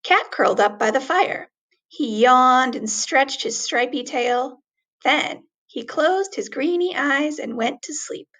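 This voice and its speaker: High voiced lady reading a picture book to kids, really lively, dramatic emphasis. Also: takes it slowly. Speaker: teacher reading a storybook aloud. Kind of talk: expressive children's story reading.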